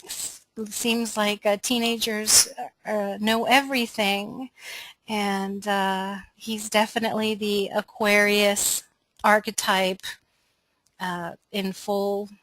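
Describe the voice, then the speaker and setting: Woman, middle-aged, American accent, medium pitch, informal class facilitator, thoughtful pauses. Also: flat delivery, a bit bright, no room sound, low-quality recording. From Los Angeles, United States. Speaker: webinar host and astrology course facilitator. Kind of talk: informal self-introduction to a class.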